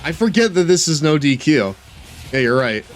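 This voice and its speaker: A man chatting casually with his chat while playing a wrestling game on stream, laid-back and drawling, and given to sudden excited shouts. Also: on the high side, normal speed, soft background music.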